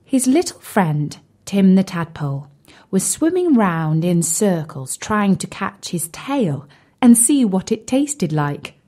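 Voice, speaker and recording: Slow, expressive woman storyteller. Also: mid-range voice. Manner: expressive, carefully paced, addresses young listeners. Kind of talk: scripted children's story narration.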